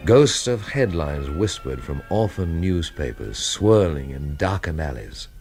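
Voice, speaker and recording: An actor playing a cynical English investigator who narrates his own story in a deadpan, sardonic radio drama style. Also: extremely low pitch, a little slow, soft background music, exaggerated voice. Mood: brooding, wry.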